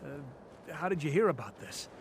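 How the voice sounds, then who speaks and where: A man's voice: a little high, sing-song, fairly quick, slight background noise. An actor in a video game playing a combative militia man who talks in a blunt, colloquial, challenging way. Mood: tense, defiant. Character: defiant, combative male militia fighter, blunt and suspicious.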